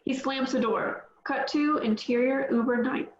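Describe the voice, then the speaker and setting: A woman's voice: high voice, fairly even intonation, unhurried, roomy sound, highs cut off. A woman reading the stage directions at a script table read in a plain, matter-of-fact way.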